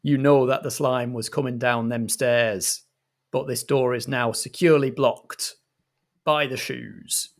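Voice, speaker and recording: A man's voice: medium pitch, fairly even intonation, a little slow. A man narrating an improvised comedy story as its director, in a dramatic storytelling style.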